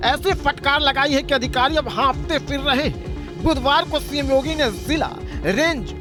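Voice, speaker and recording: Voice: high voice, moderate pace. A news reporter reading a scripted report with dramatic, punchy delivery. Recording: loud music under the voice. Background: loud news music bed.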